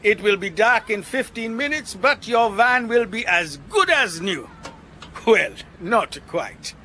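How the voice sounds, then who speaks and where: An actor playing a shy, kindly, worrying mechanic with a Southern African accent in a radio drama, speaking formally and hesitantly. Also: male voice, sits high, animated, slow pace, sharp tone, exaggerated voice. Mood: apologetic. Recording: noticeable background noise, chirping night insects.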